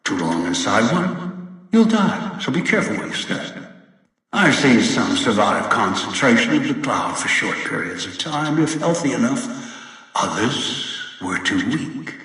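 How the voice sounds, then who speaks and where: An actor playing a scheming older male villain in a video game, speaking in a cold, measured, menacing way. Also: mid-range voice, animated, a bit bright, roomy sound, in a large hall, poor audio. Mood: lecturing. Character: old male scheming manipulative elder villain.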